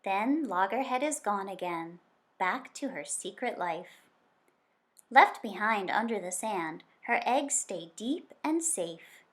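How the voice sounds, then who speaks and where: A woman's voice: medium pitch, expressive, slow, a bit bright. Woman reading a picture book aloud expressively. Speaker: teacher reading aloud to children.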